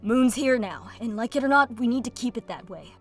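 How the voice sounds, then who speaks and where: Firm, sardonic, high-voiced young dragoness woman acting. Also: lively intonation, fairly quick, music in the background. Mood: firm, impatient. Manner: assertive, dry sarcasm. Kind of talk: audio drama acting.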